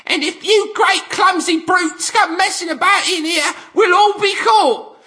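A man's voice: very high-pitched, a little slow, sharp tone, pitched above the performer's own voice, full character voice. A man voicing a greedy, cranky male rat in a storybook reading, shrieking and scolding.

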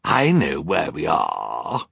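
A man voicing an arrogant, menacing male storybook villain who growls and sneers in a drawling, gravelly voice. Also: low-pitched, big pitch swings, slow pace, sharp tone, dry recording, clean studio sound, full character voice. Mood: sneering.

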